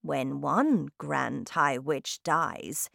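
Woman as a calm, slow, sly old grandmother. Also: sits low, vocal fry, no echo, studio quality, voice pushed down, full character voice. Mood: calm, reassuring. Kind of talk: children's storybook character voice.

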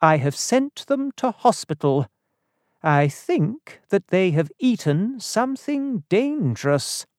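A narrator acting a grave, slow-talking male doctor. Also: mid-range voice, sing-song, a little mellow, no room sound, clean studio sound, voice pushed up.

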